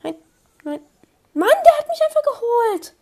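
A young woman commenting on her own online match, excitable, swinging from low muttering to high squeals. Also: measured pace. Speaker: gamer streamer. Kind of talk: live gameplay commentary.

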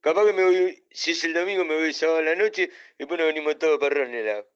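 A man plays a boisterous Argentine skirt-chaser in a comic radio play. His voice is high and smooth, sleazy in manner, on a dull recording. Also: quick pace, close to an everyday voice. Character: brash, womanizing male former classmate. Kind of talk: comic radio drama in WhatsApp voice messages. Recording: dull, lo-fi audio.

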